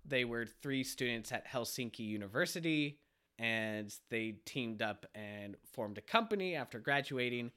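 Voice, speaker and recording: A man's voice: medium pitch, expressive, unhurried, sharp tone, clean tone. A man hosting a casual podcast chat, a little stuffy from a cold, asking questions and laughing easily. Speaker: podcast host.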